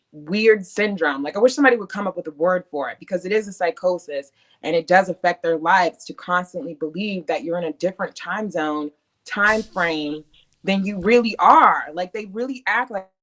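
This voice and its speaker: A woman's voice: on the low side, normal speed, a bit bright. A woman guest on a podcast, talking bluntly and emphatically in casual chat.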